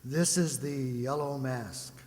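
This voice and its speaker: An actor playing a menacing masked master villain in a radio serial, speaking formally and ominously over the telephone. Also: male voice, mid-range voice, a little mellow, natural voice. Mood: cold menace. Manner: slow, deliberate, ominous, formal. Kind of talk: 1940s radio serial acting. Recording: echoey hall, clear background noise.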